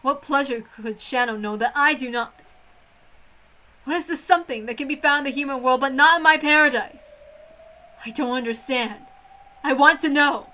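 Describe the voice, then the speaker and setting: Woman voicing an imperious, bright-voiced lonely witch. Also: sits high, normal speed. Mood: frustrated, petulant. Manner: imperious, petulant. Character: proud, lonely, frustrated female golden witch.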